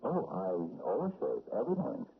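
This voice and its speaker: A man's voice: medium pitch, wildly swooping pitch, quick pace. An actor playing a timid, nervous city man who stammers and hesitates with many fillers in a 1970s radio drama. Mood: evasive. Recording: tinny lo-fi old radio broadcast with faint hiss.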